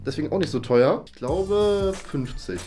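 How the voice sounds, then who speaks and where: A man's voice: a little high, expressive, normal speed, very noisy. A man in his twenties hosting in a casual, teasing, jokey way. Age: 20s. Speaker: host. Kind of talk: casual playful banter. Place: small furnished room indoors. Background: background music.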